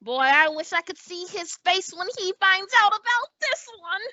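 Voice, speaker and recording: A woman playing a gleeful, mischievous high school student with gee-whiz delivery in an old-time radio comedy. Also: animated, moderate pace, sharp tone, no room sound, full character voice. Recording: dull, lo-fi audio.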